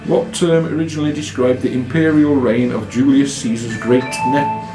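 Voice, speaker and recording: A man's voice: mid-range voice, fairly even intonation, moderate pace. A man hosting a live quiz, reading out questions and bantering with the players. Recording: echoey room with music.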